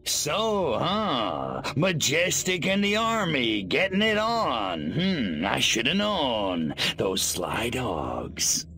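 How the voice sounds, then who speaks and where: A cocky alien invader in a video game, with a gravelly rasp and an unhurried drawl. He sounds sardonic and irreverent, swinging his pitch as he cracks wise. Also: wildly swooping pitch, pushed and bright, slight background noise, full character voice. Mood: amused, dismissive. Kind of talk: video game cutscene acting.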